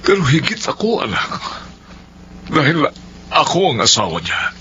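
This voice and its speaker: A long-suffering middle-aged Filipino father in radio melodrama. His voice is fairly high, bright and very animated, earnest and pleading, on a muffled recording. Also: normal speed, full character voice. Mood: hurt, insistent. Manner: earnest, imploring, dramatic. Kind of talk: Filipino radio drama acting. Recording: dull lo-fi radio sound, faint noise.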